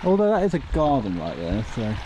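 A man's voice: medium pitch, wildly swooping pitch, brisk. A man chatting in a rambling, casual way as he hosts a walking vlog outdoors. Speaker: vlog host. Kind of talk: casual walking vlog narration to camera and companion. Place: outdoors walking along a country roadside in rain. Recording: outdoor recording with loud traffic noise.

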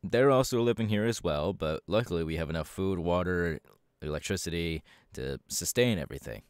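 A laid-back superhero mentor acted by a man speaks in a low voice for an ASMR roleplay. He is proud and reassuring, drawling casually with hesitant pauses. The sound is dry, with no room around it. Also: clear, resonant tone, no room sound. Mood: casual, explaining.

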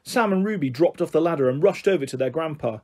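Male audiobook reader for children, animated and slightly rough-edged. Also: a little high, fast talker. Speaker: storyteller reading a children's novel. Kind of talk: expressive storybook narration.

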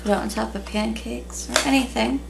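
A woman in her thirties chatting casually in a relaxed way with many ums, recorded at home on a camera at a table. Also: a little high, moderate pace, creaky. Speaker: vlogger. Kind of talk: casual haul video chat. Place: small room at home, indoors. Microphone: camera in front at table. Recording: noisy home webcam recording.

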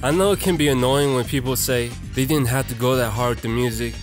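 A man's voice: mid-range voice, brisk, over music. A young man narrating a casual, slangy video essay in an irreverent, conversational, profane way.

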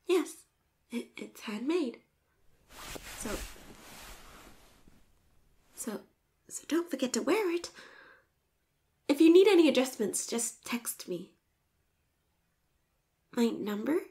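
High-pitched, shy, flustered schoolgirl crush, played by a woman. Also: a little mellow, full character voice. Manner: bashful stammering, breathy whispered asides. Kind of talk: romantic roleplay voice acting.

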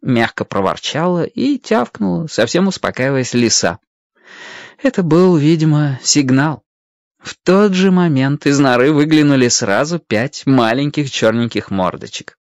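A man's voice: fairly high pitch, expressive, unhurried, no room sound. A man narrating a children's adventure story expressively from a script. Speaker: audiobook narrator.

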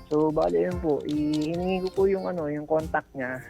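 Filipino male caller, slightly high-pitched, polite and halting, casual personal storytelling, loud guitar backing. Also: steady tone, creaky. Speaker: caller sharing his relationship story. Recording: loud guitar music under voice. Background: loud plucked guitar music.

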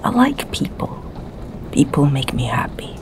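A middle-aged woman from Sweden with a Swedish accent, whispering ASMR stories softly and slowly in her home library. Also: sits low, big pitch swings, a little hiss or hum. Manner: soft, slow whisper. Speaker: ASMR creator and storyteller.

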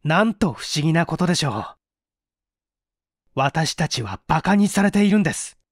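A man as a cool, high-pitched young needler. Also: very animated, moderate pace, no echo, studio quality. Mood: pressing, ironic. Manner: polite, pointed, articulate questioning.

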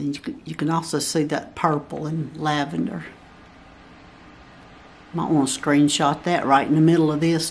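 A woman's voice: low-pitched, darkish tone, a little hiss or hum. A woman giving a step-by-step barn quilt pattern tutorial at a table, patiently counting blocks aloud. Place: at a table over a paper pattern, indoors.

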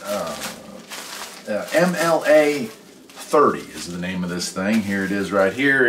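A middle-aged American man giving a casual hands-on demonstration in a folksy, chatty way. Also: mid-range voice. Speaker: host. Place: indoor home workshop and garage. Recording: echoey room, distant mic, faint noise.

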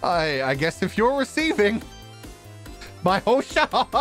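A man streaming a game, reading its dialogue aloud with jokey ad-libs in a playful, animated manner. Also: high voice, unhurried. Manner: animated, stammering for effect, jokey. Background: visual novel game music.

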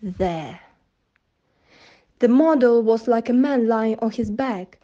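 A woman's voice: a little high, slow, dark tone. A young woman reading literary prose aloud indoors on a lo-fi recording. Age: young adult. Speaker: student reader. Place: indoor room in front of curtains. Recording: dull lo-fi recording.

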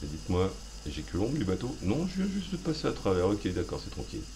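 A man's voice: on the low side, wildly swooping pitch, fast talker, creaky, noisy background. A man hosting a gaming video, rambling through self-mocking, playful commentary as he plays. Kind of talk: joking let's play commentary.

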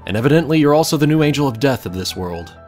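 A man's voice: mid-range voice, animated, fast, a little mellow, faint music behind. A man reading a scripted second-person story aloud as its narrator.

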